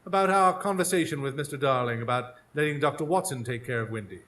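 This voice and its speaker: A man's voice: mid-range voice, quick pace, a bit bright, close to an everyday voice. A man playing a sceptical, exasperated Victorian detective in a radio drama, speaking formally and dryly with probing questions. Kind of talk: live radio drama acting.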